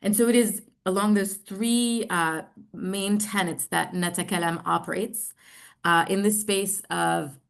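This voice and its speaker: A middle-aged American woman from New York giving an online presentation, speaking haltingly with frequent pauses. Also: medium pitch, fairly even intonation, vocal fry. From New York, United States. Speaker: social enterprise representative presenting.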